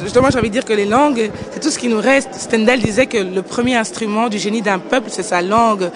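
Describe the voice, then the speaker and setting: A woman's voice: on the high side, fairly even intonation, quick pace, noisy background. A young woman from Belgium, co-founder of a language school, answering interview questions in an earnest, articulate way. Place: indoor book fair hall. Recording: busy crowd chatter behind.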